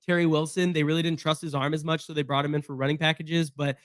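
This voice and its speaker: Hoarse guy kinda high voice rambling casually about his team's roster, dry close sound. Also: level tone, fast, fairly bright tone, dry recording. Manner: chatty, rambling with fillers and restarts. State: hoarse from being sick. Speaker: sports podcast host. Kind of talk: casual solo sports breakdown.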